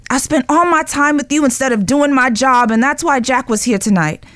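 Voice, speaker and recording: A woman playing a guilt-ridden, lovestruck young outlaw spy in an audio drama, speaking earnestly and emotionally with pauses. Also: sits high, fairly bright tone, dry recording. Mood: exasperated, anguished.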